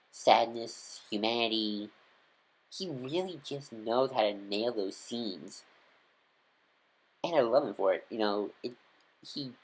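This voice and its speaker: A man chatting casually to camera as a devoted fan reviewer. He rambles with warm enthusiasm, stumbling over restarts and filling pauses with "um" and "uh". The delivery is loose and unscripted. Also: medium pitch, low-quality recording.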